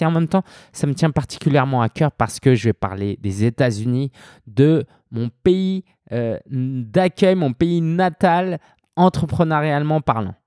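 A man from France hosts a solo podcast for entrepreneurs, talking directly to his listener in a loose, conversational flow with plenty of hesitations. His voice is mellow and dark, sitting in an ordinary middle pitch. It is recorded close and dry, with no room sound. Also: no echo. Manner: conversational, addresses listener directly with many fillers. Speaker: podcast host. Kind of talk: solo business podcast monologue.

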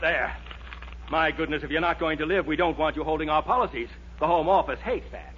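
Male actor as fussy insurance clerk, polite and easily rattled, lightly raised pitch, old dull radio comedy sound. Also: brisk, close to an everyday voice. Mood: alarmed. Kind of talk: 1940s radio comedy acting. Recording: dull, faintly noisy old radio recording.

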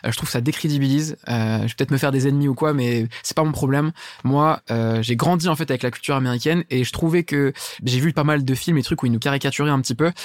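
A man's voice: medium pitch, quick pace, no room sound, studio quality. A young man from France, a startup founder talking in a casual interview, enthusiastic and slangy with plenty of fillers.

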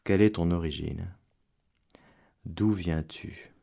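Male priest, radio sermon: slow, measured delivery, mellow tone, bursts of fervor then quiet prayer. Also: deep voice, gravelly. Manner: preacherly, swings between loud exclamations and hushed prayer. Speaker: priest giving catechesis on radio. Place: radio studio.

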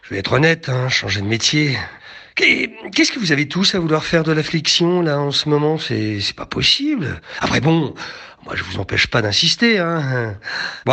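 A man's voice: on the high side, sharp tone, exaggerated voice. A man playing a brash, exasperated film producer in a podcast drama, blunt and dismissive. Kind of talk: fiction podcast acting.

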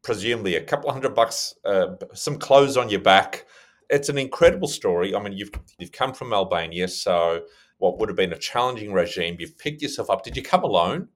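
Man, low voice, interview host, conversational, effusive, rambling questions. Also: animated, brisk.

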